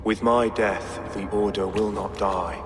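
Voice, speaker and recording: A low-voiced game villain, a bitter and zealous bishop, played by a man, speaks with slow poetic menace. His words ring in a long echoing reverb with music behind. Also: fairly even intonation, noisy background, natural voice. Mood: menacing, grave. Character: bitter zealous villain, male bishop who hates God. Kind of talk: dramatic game villain monologue.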